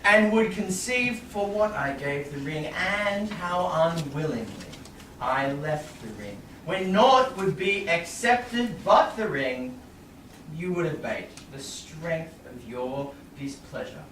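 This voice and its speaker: Slow, pleading young nobleman played by a man. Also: a little high, lively intonation. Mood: earnest pleading. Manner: earnest, imploring. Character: earnest, pleading young nobleman husband, guilt-ridden. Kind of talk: staged Shakespeare reading. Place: small indoor theatre stage. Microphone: far audience camera. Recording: roomy, noisy distant audience camera.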